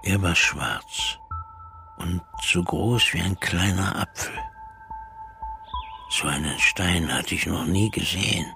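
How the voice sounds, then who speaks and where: An actor playing a brooding man who recalls his brother's disappearance in a radio drama, telling it deliberately with pauses, over music. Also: deep voice, gravelly, exaggerated voice. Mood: haunted, measured. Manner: slow, deliberate storytelling with pauses. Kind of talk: radio drama first-person narration. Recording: music under the voice.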